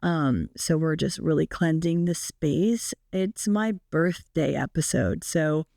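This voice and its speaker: Young woman, 20s, raspy and hoarse from illness, chatty podcast host, dry close sound. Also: slow pace, warm tone, no echo. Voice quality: raspy, deep. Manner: chatty, many fillers. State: sick, hoarse. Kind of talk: casual solo podcast chat.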